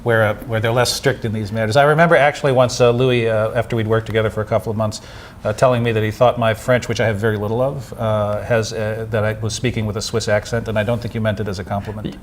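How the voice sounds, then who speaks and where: A man's voice: lowish voice, flat delivery, fast, a little hiss or hum. A man hosting a casual live chat, talking in a rambling way with many fillers and restarts. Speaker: host.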